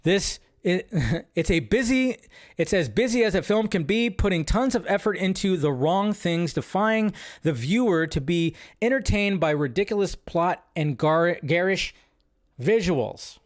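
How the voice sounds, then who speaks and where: Chatty higher-voiced man riffing live. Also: expressive, unhurried. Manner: rambling, restarts a lot, laughs at his own jokes. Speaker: host. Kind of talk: casual live reaction commentary.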